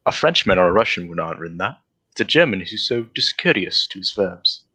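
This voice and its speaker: A man playing a brisk, self-assured gentleman detective in a 1940s-style radio drama, speaking precisely with a lightly condescending, lecturing air. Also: deep voice, very animated, husky, exaggerated voice.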